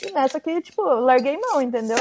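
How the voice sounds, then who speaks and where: A woman's voice: sits high, lively intonation, quick pace. A Brazilian woman talking casually as a guest about hitchhiking, peppering her speech with fillers. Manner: chatty, frequent "tipo" fillers. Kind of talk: casual conversation. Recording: dull lo-fi sound.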